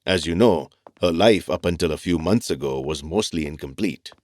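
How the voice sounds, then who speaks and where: Casting: male actor as calm mentor doctor, low voice, measured and explanatory, dry sound. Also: no echo, close to an everyday voice. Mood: reflective, explaining. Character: thoughtful, reassuring male doctor and family mentor. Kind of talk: audio drama acting.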